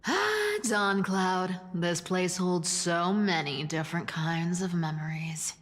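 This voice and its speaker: A woman's voice: lowish voice, animated, slow pace. A woman playing a nostalgic, reflective woman of a thousand years in a video game. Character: nostalgic thousand-year-old woman revisiting old memories. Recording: reverberant hall.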